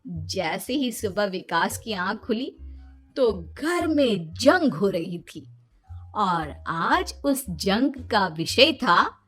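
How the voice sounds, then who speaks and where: Bright woman narrating a children's story. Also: medium pitch, very animated, very slow, breathy edge, soft background music.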